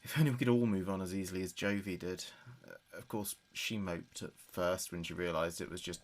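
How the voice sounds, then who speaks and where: Actor as a grieving son, hesitant and confiding. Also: male voice, low-pitched, fairly even intonation, a little fast, natural voice. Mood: fond. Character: grieving adult son recording messages for dead father. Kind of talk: audio drama monologue as a taped diary.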